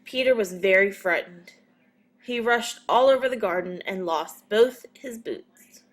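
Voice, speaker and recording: A woman narrates a storybook for young children at a slow, careful pace. Her pitch sits in an ordinary middle range, and her delivery is expressive and measured. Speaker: storybook reader.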